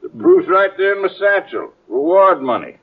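An actor playing a flustered, folksy travelling salesman in a 1950s radio western, stammering in rustic speech with a husky voice. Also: sits high, sing-song, moderate pace, full character voice. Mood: indignant, proud. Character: excitable, folksy travelling salesman in the old West, male. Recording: tinny vintage 1950s radio broadcast.

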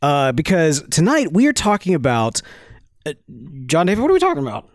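A man's voice: fairly high pitch, huge pitch swings, brisk, dry recording, studio quality. A man in his thirties co-hosting a casual podcast, rambling with lots of restarts and laughs. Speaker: podcast co-host.

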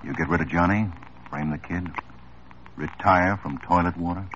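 Tired police lieutenant, very deep voice, terse, dull old radio sound. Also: male voice, moderate pace, a bit bright, slight background noise. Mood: grim. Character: weary, dogged man police lieutenant. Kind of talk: 1950s radio drama acting. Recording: dull 1950s radio drama recording.

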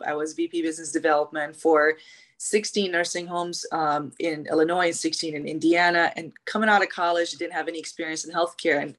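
A young adult woman from Iraq, a guest in an interview, telling her story in a chatty, animated way with many fillers and restarts. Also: lowish voice.

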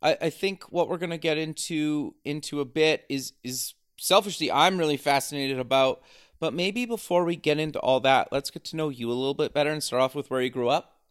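A man's voice: fairly high pitch, fairly quick, smooth. A man hosting an interview show, asking questions in a casual, conversational way. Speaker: podcast host, interviewer.

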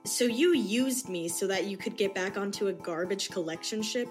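A woman playing a naive, bewildered young heroine in a sci-fi audio comedy, halting and wide-eyed. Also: medium pitch, natural voice. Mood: incredulous. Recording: music behind the voice.

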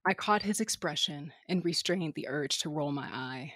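A woman's voice: deep voice, moderate pace, a bit bright, no echo, studio quality. A woman playing a brash, cynical smuggler captain, narrating an audio drama in a wry, flippant first person. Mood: exasperated.

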